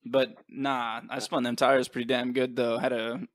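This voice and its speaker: A man's voice: medium pitch, level tone, normal speed, dry recording. A man chatting as a podcast guest, laid-back and slangy, swearing freely. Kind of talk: casual chat over beers.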